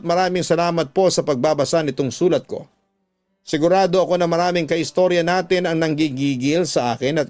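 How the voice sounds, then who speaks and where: Male Filipino radio drama narrator, slightly higher register, dramatic letter-reading delivery, music bed underneath. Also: darkish tone. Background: background music.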